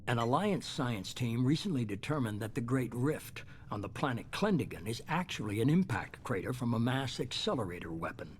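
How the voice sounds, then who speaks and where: Measured, calculating boss played by a man, noisy ambience. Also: mid-range voice, very animated, lightly acted. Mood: coolly self-justifying. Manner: measured, authoritative, unapologetic. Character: shadowy calculating male leader, middle-aged, coolly self-justifying. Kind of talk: dramatic video game dialogue.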